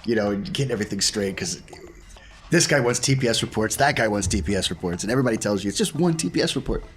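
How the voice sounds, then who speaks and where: A man chatting casually as a player in a tabletop roleplaying game, measured and a little hesitant. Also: lowish voice, lively intonation, slight background noise. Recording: faint music behind the voice.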